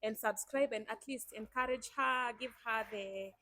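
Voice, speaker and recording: Here is a young Kenyan woman who hosts her own channel in a chatty, upbeat way. Her voice sits a little high and sounds dry and close, and she talks straight to her viewers. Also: normal speed, sharp tone, no room sound. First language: Swahili. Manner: chatty, enthusiastic, addresses viewers directly. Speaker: YouTube host. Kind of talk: casual chat.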